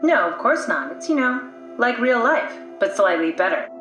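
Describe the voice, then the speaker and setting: A woman's voice: a little high, very animated, normal speed, a bit bright. An actress voicing a pragmatic female scientist whose mind lives in a machine, with a dry, matter-of-fact way of explaining things in a video game. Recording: echoey room, music underneath.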